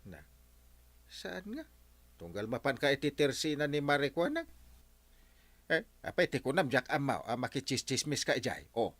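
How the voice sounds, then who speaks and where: A man with an Ilocano accent playing a touchy, blustering barangay captain in a radio comedy drama, exclamatory and expressive. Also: medium pitch, moderate pace, long pauses, dry recording. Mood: teasing, nosy.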